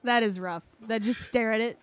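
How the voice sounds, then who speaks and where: A woman's voice: fairly high pitch, fairly even intonation, moderate pace, no echo. A woman hosting a podcast in casual chat, informal and reactive, often dropping "like" into her sentences. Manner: informal, reactive, peppers talk with "like". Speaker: podcast host.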